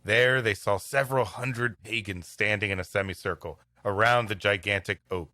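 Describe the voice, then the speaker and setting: A man's voice: on the low side, steady tone, normal speed, sharp tone, no echo. A man hosting a comedy podcast, reading an old story aloud expressively and breaking off for banter. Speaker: podcast host and story reader.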